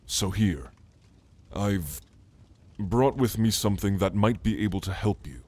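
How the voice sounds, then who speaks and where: Man playing a low-voiced calm orc shaman. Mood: instructive, gentle. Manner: soothing, measured, formal wording. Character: calm, scholarly male orc shaman, gentle mentor. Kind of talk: ASMR roleplay acting.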